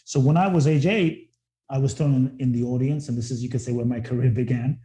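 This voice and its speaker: Guy telling his life story slow and earnest, deep mellow tone, echoey room. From born in Ghana, grew up in London. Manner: earnest, reflective, repeats words when starting sentences. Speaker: guest, inspirational speaker. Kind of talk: reflective storytelling in conversation. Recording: roomy echo.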